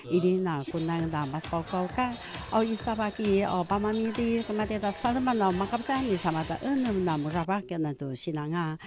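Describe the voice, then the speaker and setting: A middle-aged woman, a native Pinuyumayan speaker, reading scripted news as a presenter, with small fillers between phrases. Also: lowish voice.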